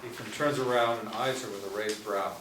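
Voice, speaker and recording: Low-voiced male script narrator in roomy space. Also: fairly even intonation, fairly quick, a bit bright. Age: 40s. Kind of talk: scripted narration of screen directions. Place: small indoor room, seated group. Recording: roomy, distant mic with faint noise.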